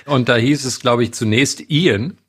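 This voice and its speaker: A man's voice: medium pitch, slow, husky. A male actor talking casually in a studio conversation.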